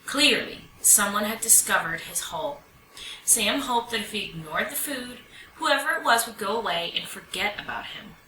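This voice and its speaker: A woman reading a children's picture book aloud in an expressive storytelling manner. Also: mid-range voice, normal speed. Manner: expressive, animated storytelling delivery. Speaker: storybook reader. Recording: roomy echo, distant mic, faint noise.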